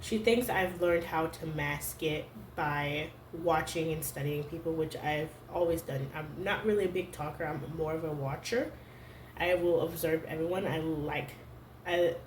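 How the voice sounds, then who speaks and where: A young adult woman vlogging to camera at home, talking in a halting, searching way full of "like" fillers. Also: low voice, slight background noise. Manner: hesitant, halting, heavy use of "like" fillers. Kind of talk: personal confessional vlog. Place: room at home. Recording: roomy home echo.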